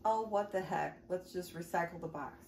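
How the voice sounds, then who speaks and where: A middle-aged woman talking casually to herself as she sorts and clears a cluttered dining room table. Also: fairly low pitch, moderate pace. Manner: relaxed, muttering to herself while sorting. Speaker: vlogger. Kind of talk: casual narration while decluttering. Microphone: camera across the room. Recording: echoey room with background noise.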